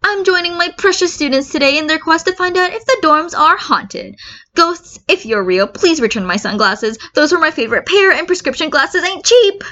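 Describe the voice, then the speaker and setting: A woman voicing a loud, showy male hero radio host with exclaiming announcer delivery, slightly muffled. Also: very high voice, animated, normal speed, voice pushed up, full character voice. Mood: showy, excited.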